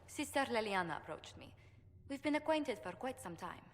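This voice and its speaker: An actress playing a poised, tactful court diplomat in a video game, speaking in a formal and measured way. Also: female voice, a little high, expressive, natural voice. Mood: composed, tactful. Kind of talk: video game dialogue acting. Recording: long hall reverb, faint noise.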